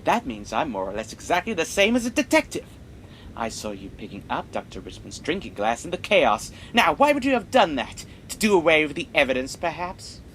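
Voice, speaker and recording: A man playing a self-important amateur sleuth, dramatic and excitable in old-time radio mystery style. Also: a little high, fairly quick, a little hiss or hum. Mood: accusing.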